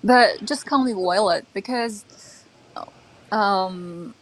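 A woman's voice: mid-range voice, slow pace, rough recording. A woman from China chatting casually in English on a livestream, easygoing and teasing. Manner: laughs often, easygoing and teasing. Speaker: guest. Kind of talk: casual chat.

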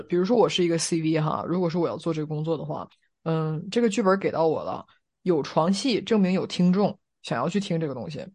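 A woman's voice: lowish voice, a little mellow. Casual, explanatory woman podcast co-host. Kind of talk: casual discussion.

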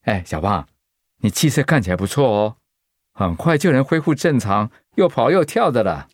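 Supportive friend, brotherly, upbeat, medium pitch, dry sound, radio play. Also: male voice, big pitch swings, normal speed, dry recording. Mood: encouraging. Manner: upbeat, encouraging, familiar. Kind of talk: radio drama acting.

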